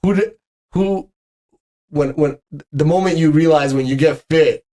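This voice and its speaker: A man's voice: a little high, a little slow, warm tone. A man hosting a podcast, chatting casually and affirming his guest often. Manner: agreeable, frequent affirmations. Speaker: podcast host. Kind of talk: casual interview chat.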